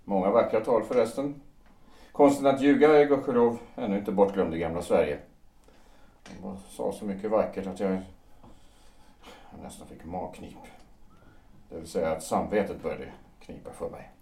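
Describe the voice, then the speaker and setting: A man's voice: deep voice, gravelly, long pauses, mellow. A man playing a wry, world-weary, slightly tipsy man home from abroad in a period audio drama, rambling with irony. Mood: wry, ironic. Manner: rambling, ironic, hesitant. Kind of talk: audio drama acting of a period stage play. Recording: roomy, distant microphone.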